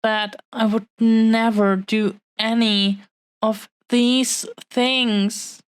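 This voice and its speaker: A woman playing a possessive, adoring dragon-blooded fiancée in an intimate audio roleplay, speaking in earnest, halting, heartfelt phrases. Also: a little high, flat delivery, clean tone, dry recording. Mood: remorseful.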